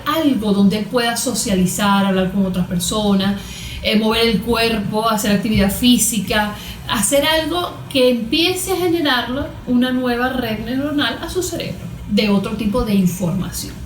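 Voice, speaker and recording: Venezuelan lady therapist chatting thru her advice, kinda high voice, roomy echo. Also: normal speed, a little hiss or hum. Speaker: guest expert, cognitive therapist. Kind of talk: conversational explanatory interview answers. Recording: echoey, roomy sound.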